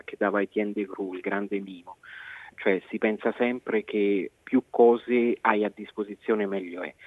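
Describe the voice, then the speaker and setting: A man's voice: on the low side, dry recording. A man giving a reflective radio interview, stretching key words for emphasis. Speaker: theatre director, interview guest. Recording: muffled phone-like line, dry.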